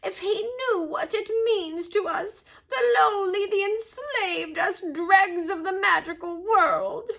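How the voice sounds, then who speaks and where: A woman's voice: extremely high pitch, animated, slow, bright, forceful tone, voice pushed up, full character voice. A woman voicing a small male house elf for a storybook, servile, fearful and anguished, squealing and moaning as he pleads. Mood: tearful, reverent. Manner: pleading, stammering, tearfully dramatic.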